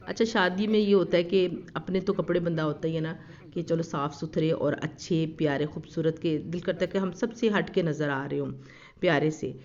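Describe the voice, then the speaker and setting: A woman's voice: low voice, steady tone, normal speed, darkish tone. A woman narrating a family shopping and dining vlog in a chatty, homely way, with a slightly sore throat and frequent fillers. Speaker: family lifestyle vlogger. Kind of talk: casual vlog voice-over narration. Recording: slightly dull lo-fi recording.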